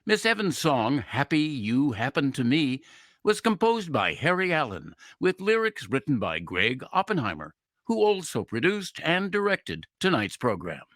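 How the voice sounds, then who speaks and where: A man's voice: a little high, wildly swooping pitch, normal speed, a bit bright, no room sound, studio quality. A man doing scripted old-time radio announcing in a polished, formal manner.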